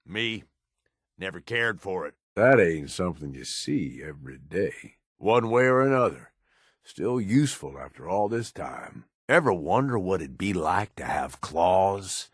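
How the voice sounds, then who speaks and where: An actor playing a roguish, cynical but loyal raider in a video game, with a folksy drawl. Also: male voice, low voice, very animated, a little slow, husky, dry recording, studio quality, full character voice. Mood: wry, cocky. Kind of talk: video game companion dialogue.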